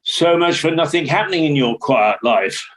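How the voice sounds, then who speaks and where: A skeptical radio producer played by a male actor in an audio drama. His voice is fairly high for a man, with a dry, questioning delivery. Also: animated, normal speed, fairly bright tone. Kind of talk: radio play dialogue.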